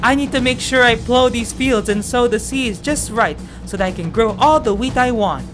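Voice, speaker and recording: An earnest young giant farmer from a fantasy game, read by a woman, hardworking and wistful. The voice is high, with music behind it. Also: moderate pace, natural voice.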